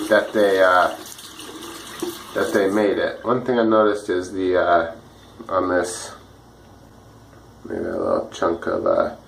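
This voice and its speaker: A man with a slightly rough, dry voice, chatting casually and a bit ramblingly while he shaves at home for his vlog. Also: lowish voice, a bit bright, slight background noise, poor audio. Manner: easygoing, rambling, many fillers and restarts. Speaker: wet shaving vlogger. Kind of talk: casual talk while shaving.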